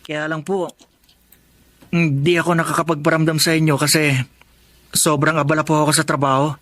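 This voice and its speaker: A man's voice: a little high, little pitch movement, brisk, fairly bright tone, dry recording, natural voice. A man playing a polite, hardworking young orphan with a Filipino accent in a Tagalog radio drama, earnest and deferential. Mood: earnest, warm.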